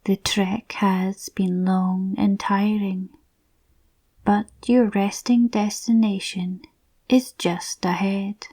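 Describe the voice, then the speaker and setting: Soft, slow, mellow woman guiding relaxation. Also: medium pitch, level tone. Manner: soft, breathy, near-whispered, soothing delivery. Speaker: guided relaxation narrator. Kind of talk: slow guided visualisation, scripted narration.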